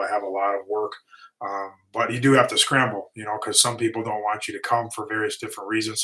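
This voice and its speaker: A man's voice: fairly low pitch, fairly bright tone, rough recording. Laid-back man hosting a livestream. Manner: easygoing, rambling with restarts and fillers. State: voice getting tired and going. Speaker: livestream host, lawn and concrete business owner. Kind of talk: casual livestream Q&A, reading chat questions.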